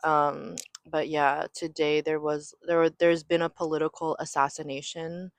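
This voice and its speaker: A woman's voice: deep voice, level tone, moderate pace, dry recording. A young woman from Southern California chatting casually on her own podcast, rambling with lots of "like" and "um". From raised in Southern California. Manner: rambling, filler-heavy, frequent "like" and "um". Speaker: podcast host. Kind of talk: casual solo chat. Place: home near an airport.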